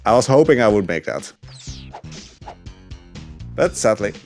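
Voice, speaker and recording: A man commentating on a speedrun from his couch at home, chatty and relaxed with lots of fillers. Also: lowish voice, unhurried, faint music behind. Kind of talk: casual gameplay commentary. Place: home living room couch.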